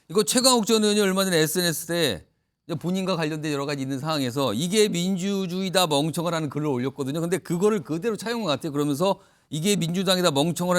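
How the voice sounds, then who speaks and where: A man hosting a news panel show in a lively, conversational anchoring style. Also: high-pitched.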